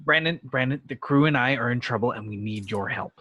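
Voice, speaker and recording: Casting: male reader as urgent, earnest starship-captain actor, forceful and raspy. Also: medium pitch, brisk, no echo. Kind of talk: script table read.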